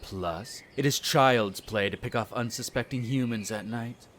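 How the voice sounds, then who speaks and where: A man's voice: medium pitch, a little slow, fairly bright tone, slight background noise, lightly acted. A man playing a smug, menacing aristocratic vampire in a scripted audio roleplay, speaking formally with condescending disdain. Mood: haughty, dismissive. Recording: birdsong and nature sounds behind. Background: birdsong, garden nature ambience.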